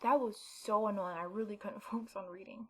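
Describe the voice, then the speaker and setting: A woman's voice: medium pitch, steady tone, quick pace, husky. A woman gaming YouTuber reading visual novel narration aloud and adding casual asides. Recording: music behind the voice. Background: visual novel game music.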